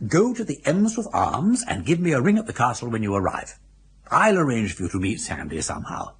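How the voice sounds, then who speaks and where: An actor playing a jaunty, meddling older English gentleman with husky, breezy upper-class banter in a radio drama. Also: mid-range voice, huge pitch swings, a little fast, slight background noise. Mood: rousing, bossy.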